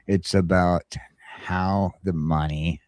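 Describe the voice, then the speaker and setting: A man's voice: deep voice, fairly even intonation, slow, a little mellow, dry recording. A man hosting a casual talk show, rambling along and repeating phrases for emphasis. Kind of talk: casual chat.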